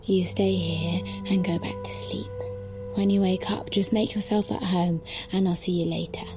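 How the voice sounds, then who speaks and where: An actress playing a tender, affectionate young woman in an intimate audio drama, murmuring softly. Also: on the low side, fairly even intonation, normal speed, over music, natural voice. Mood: tender, drowsy. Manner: soft, murmured.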